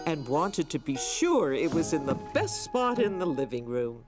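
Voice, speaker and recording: A woman's voice: sits low, very animated, a little slow, over music. A woman narrating a preschool cartoon like a kindly storybook reader for small children. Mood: warm, storytelling.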